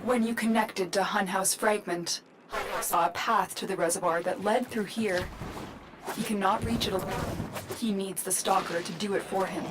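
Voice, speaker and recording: An actress playing a solemn, protective guide and commander in a video game, speaking earnestly in short, measured phrases. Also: female voice, mid-range voice, fairly even intonation, natural voice. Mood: urgent, instructing. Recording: noisy game capture with ambience.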